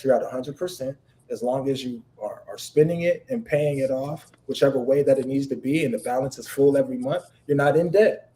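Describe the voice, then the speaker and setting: A man with a deep voice talking bluntly and emphatically in a casual live-stream chat, swearing freely. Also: warm tone, poor audio. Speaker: guest.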